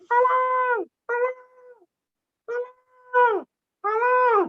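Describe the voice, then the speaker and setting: Casting: man as timid, chatty little storybook duck, very high voice, pleading and childlike, dull recording. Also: level tone, drawn-out words, exaggerated voice. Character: small lost yellow duckling, timid and chatty.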